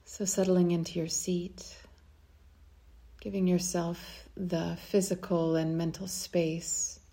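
A woman leading a guided meditation in a soft, soothing, unhurried way, at times breathy, with long pauses. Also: lowish voice, level tone.

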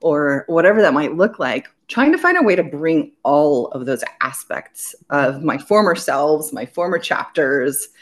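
A woman's voice: low voice, expressive, unhurried, hardly any pauses. A woman raised in Belgium, a business owner speaking as a guest in an interview, talking earnestly in long flowing sentences. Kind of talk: conversational interview.

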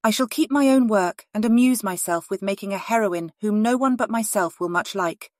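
A woman's voice: mid-range voice, normal speed, no echo, clean studio sound, natural voice. Woman acting a witty lady novelist reading her letters. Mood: composed, wry. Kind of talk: dramatized reading of historical letters.

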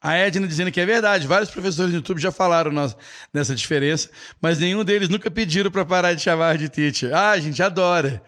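A man's voice: fairly high pitch, fast. A man with a Brazilian Portuguese accent teaching a live online English lesson, explaining playfully and reading viewer comments. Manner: animated, playful, explaining with imitations.

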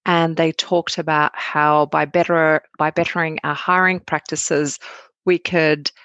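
A woman's voice: deep voice, unhurried, no echo, dull audio. A woman in her forties, a researcher answering interview questions in a candid, storytelling way. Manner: candid, animated storytelling with asides. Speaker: guest, cybersecurity researcher.

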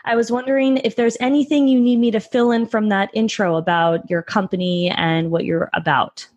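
A woman's voice: fairly high pitch, steady tone, moderate pace, darkish tone, dry recording, muffled recording. A woman hosting a podcast interview, upbeat and encouraging with her guests. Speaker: podcast host.

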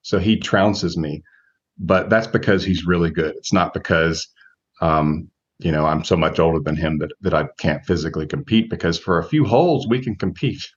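A man in his forties giving measured, reflective answers as a guest coach in an interview, thinking aloud as he goes. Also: low-pitched, breathy edge, muffled recording. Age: 40s.

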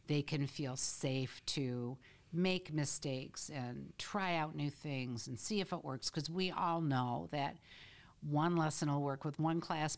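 An older woman, a veteran teacher, speaking as a guest in a casual podcast interview, reflective and playful. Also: deep voice.

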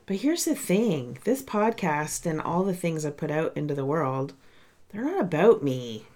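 A woman's voice: low-pitched, big pitch swings, unhurried, darkish tone. A woman hosting her own podcast in a chatty, candid way, her voice nasal and congested from a sinus cold. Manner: chatty and candid, speaks to listeners directly. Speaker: podcast host and coach. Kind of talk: casual solo podcast monologue.

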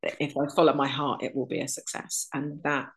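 A woman's voice: very deep voice, flat delivery, husky. A woman, talking earnestly and unhurriedly as an interview guest. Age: 30s. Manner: earnest, unhurried, lists things in runs. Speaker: guest, energy alignment teacher. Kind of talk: reflective interview talk.

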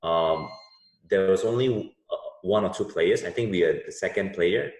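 A man in his thirties from Indonesia, a software business owner answering interview questions casually, with many fillers and restarts. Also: low-pitched, normal speed. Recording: roomy echo.

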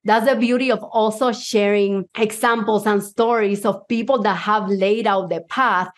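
A woman's voice: medium pitch, steady tone, moderate pace. A woman from Cuba with a Puerto Rican accent, Spanish her first language, giving an enthusiastic motivational talk to a live audience as a mindset coach. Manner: enthusiastic, personal storytelling.

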